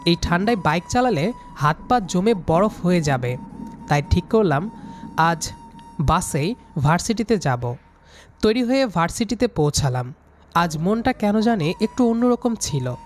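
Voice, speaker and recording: A man's voice: high-pitched, very animated, a little slow, pauses often. Young guy telling a first person story w/ acted lines, some music under it. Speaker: story narrator playing the student hero. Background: background music.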